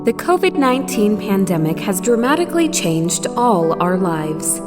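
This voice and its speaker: Woman voice-over, lively pitch, music under, long reverb. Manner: measured, expressive delivery. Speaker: video narrator. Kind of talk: scripted corporate narration.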